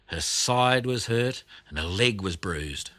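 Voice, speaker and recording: A man reading a children's audiobook as narrator, telling the story expressively with deliberate emphasis. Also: on the low side, expressive, a bit bright, no echo.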